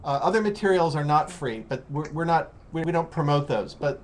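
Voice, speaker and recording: A man with an American accent hosting a casual interview, explaining in a halting way and rephrasing as he goes. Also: fairly high pitch. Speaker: host of an English-learning call-in program. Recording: noticeable background noise.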